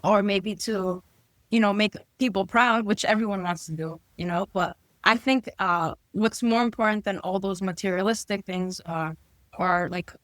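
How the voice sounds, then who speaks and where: Podcast guest, woman in her 20s from New Jersey, earnest and steady, dry recording. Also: medium pitch, no echo. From New Jersey, USA. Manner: earnest, lists achievements steadily. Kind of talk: casual interview talk.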